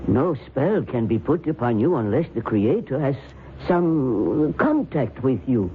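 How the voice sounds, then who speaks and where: Grave occult professor, tinny radio. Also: male voice, mid-range voice, big pitch swings. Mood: probing. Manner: probing, measured, ominous. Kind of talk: old-time radio drama acting. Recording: tinny old radio broadcast, faint noise.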